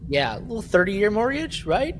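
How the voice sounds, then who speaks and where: A man's voice: high-pitched, sing-song, moderate pace, background noise. A man co-hosting a podcast, telling a personal story in casual chat with an exasperated, laughing manner. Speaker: podcast co-host.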